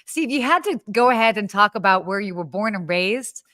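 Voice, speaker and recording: A woman's voice: medium pitch, lively intonation, a little fast, fairly bright tone, no echo, clean studio sound. Chatty woman co-hosting a live show. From United States. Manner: bubbly, chatty, many fillers and restarts. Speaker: podcast co-host. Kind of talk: casual live-show chat.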